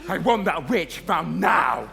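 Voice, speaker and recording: A man's voice: fairly high pitch, expressive, measured pace, creaky, bright, forceful tone, faint music behind, heavily acted. A man playing an irascible, commanding king in a stage pantomime, barking out orders. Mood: angry, commanding. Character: irascible, commanding king obsessed with catching a witch.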